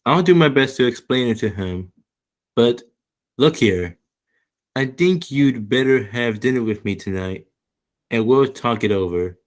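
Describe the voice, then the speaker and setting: A man playing a gentle, bashful middle-aged salesman in a 1940s-style radio play, with a hesitant, measured delivery. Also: medium pitch, lively intonation, lightly acted. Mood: subdued, considerate.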